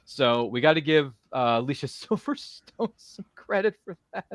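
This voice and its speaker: A middle-aged man hosting a casual live panel chat, animated and jokey, often breaking into exclamations. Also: on the high side, normal speed, no echo, clean studio sound. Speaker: host of a writers' roundtable.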